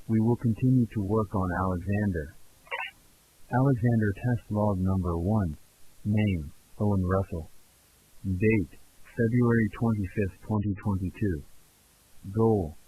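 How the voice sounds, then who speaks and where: A man's voice: lowish voice, close to an everyday voice. A man playing a male researcher who dictates formal test logs for an audio fiction in clipped, report-like phrases. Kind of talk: scripted audio log, found-recording fiction. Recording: clear background hiss and hum.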